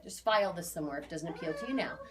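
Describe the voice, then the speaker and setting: A woman in her forties with a slightly low voice. She talks informally and emphatically to clients as an aura reader, chatting along and repeating key phrases to drive them home. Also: lively intonation, racing. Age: 40s. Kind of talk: informal spoken reading to clients.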